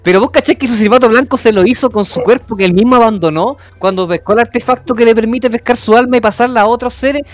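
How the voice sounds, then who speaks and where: Very high-voiced man, fervent. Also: fast, few pauses, no echo. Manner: fervent, ranting. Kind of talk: casual banter.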